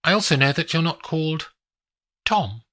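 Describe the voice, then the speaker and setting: A cool young woman, as a male narrator puts her on, speaking softly and composedly with a lilt of foreign vowels. The voice sits well above his own, light and lively in its rise and fall. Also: fairly high pitch, normal speed, voice pushed up. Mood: confessing. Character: calm young woman, a cool former assassin. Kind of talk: audiobook character voice.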